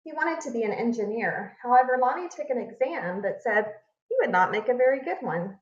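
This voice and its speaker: Woman, children's read-aloud, expressive storytelling, deliberate emphasis, echoey room, slightly muffled recording. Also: on the high side. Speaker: read-aloud narrator, teacher. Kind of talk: reading a children's picture book aloud.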